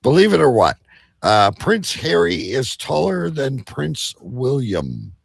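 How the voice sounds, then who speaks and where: A man hosting a bantering comedy quiz, halting as he sets up questions, then reading them out emphatically. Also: mid-range voice, wildly swooping pitch, creaky, husky, dry recording. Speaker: quiz host.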